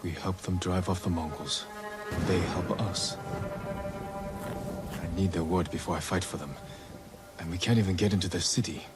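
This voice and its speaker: A man playing a calm, commanding young samurai lord in a video game, speaking in a measured, earnest way. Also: deep voice, natural voice. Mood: measured, steady. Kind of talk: video game cutscene acting. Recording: dramatic music behind the dialogue.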